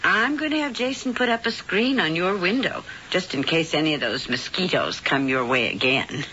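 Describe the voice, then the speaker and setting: An actress playing a gushing, falsely sweet and scheming hostess in old-time radio style. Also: female voice, fairly low pitch, animated, pushed and bright. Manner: effusive, theatrical, drawn-out endearments. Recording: dull, slightly hissy old radio recording.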